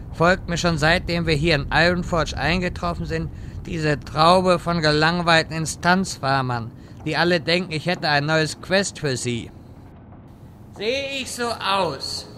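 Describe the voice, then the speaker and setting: A man's voice: high voice, sharp tone, a little hiss or hum. A man playing an irritable, sardonic wizard who lectures his companions in a German audio drama parody. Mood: annoyed. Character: exasperated, sarcastic male wizard guiding bumbling adventurers.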